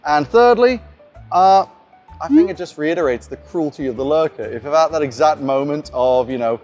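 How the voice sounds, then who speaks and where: A man's voice: on the high side, expressive, moderate pace, a little mellow, dull audio, soft background music. A man talking casually in an explanatory vlog as a game master sharing tips, in a conversational way.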